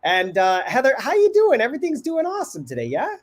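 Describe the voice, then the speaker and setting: A man's voice: very high voice, fast talker. Male host, middle-aged, congested, upbeat webcam chat. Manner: upbeat, energetic, many fillers. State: congested, a little stuffy. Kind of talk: casual live video chat. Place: home room indoors. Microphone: video call webcam.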